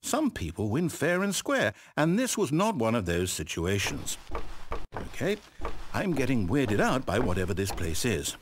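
A man's voice: mid-range voice, huge pitch swings, unhurried. A man with a British accent playing a fussy, whimsical, easily exasperated storyteller in a video game, conversational and theatrical. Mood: disapproving, uneasy. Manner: conversational, self-interrupting, dramatic. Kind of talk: theatrical scripted narration.

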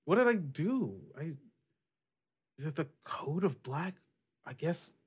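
A man voicing a frank, rough-edged female pirate captain in a casual, halting, sweary way for a tabletop game. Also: a little high, sing-song, darkish tone, pitched above the performer's own voice, exaggerated voice. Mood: baffled, indignant.

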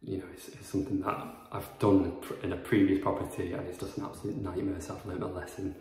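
A man's voice: sits low, little pitch movement, fast talker, darkish tone. A young man in his twenties giving a chatty, rambling house-tour vlog with lots of fillers, recorded on a phone. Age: 20s. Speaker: property renovation vlogger. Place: empty house under renovation, indoors. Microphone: phone camera, handheld then propped up. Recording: echoey bare rooms.

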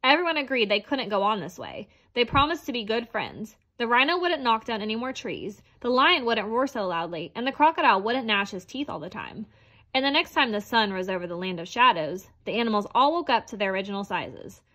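A woman's voice: fairly high pitch, very animated, a little fast, pushed and bright. A woman reading a children's picture book aloud as narrator. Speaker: storybook reader.

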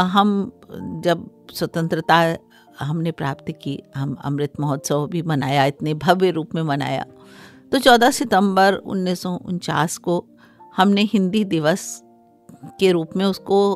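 A middle-aged woman explaining formally as a studio guest, pausing between phrases. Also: lowish voice. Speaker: interview guest, Hindi scholar. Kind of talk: explanatory radio interview. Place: small acoustically treated radio studio. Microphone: close studio microphone on a desk stand.

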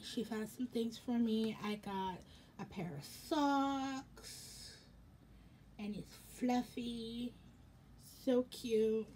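A young American woman chatting casually for a haul vlog in a rambling, halting way, with a slightly raspy voice. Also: fairly high pitch, a little mellow, slight background noise. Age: young adult. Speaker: vlogger. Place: indoors in a home room.

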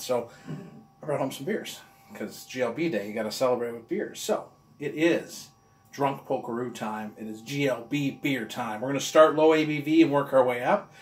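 Enthusiastic man in his forties, casual reviewer, recorded in a roomy, echoey space. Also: mid-range voice. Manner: enthusiastic, chatty, talks with his hands. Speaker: beer reviewer. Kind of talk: casual beer review vlog. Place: room with walls of beer bottles, indoors.

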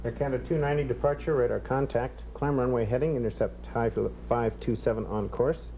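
A man's voice: medium pitch, fairly even intonation, brisk, dark tone. A man working as an air traffic controller, giving technical instructions and explanations in a control room. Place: control room full of consoles. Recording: dull tape, faint room noise.

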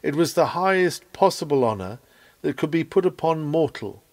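A man reading a written sermon aloud in an earnest, oratorical manner with measured phrasing. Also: fairly high pitch, a little mellow. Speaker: sermon reader.

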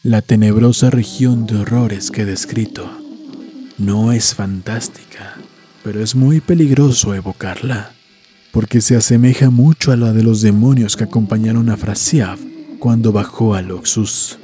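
A man doing scripted, dramatic storytelling with measured, deliberate phrasing. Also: lowish voice, fairly even intonation, mellow. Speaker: horror story narrator and channel host. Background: music.

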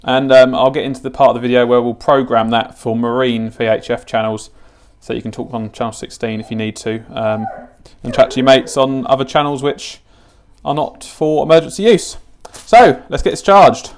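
A man's voice: medium pitch, steady tone, moderate pace. A British man in his twenties with a chatty, informal, matter-of-fact way of talking, hosting a casual product unboxing and tutorial. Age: 20s. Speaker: fishing channel host demonstrating gear. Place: home kitchen, indoors.

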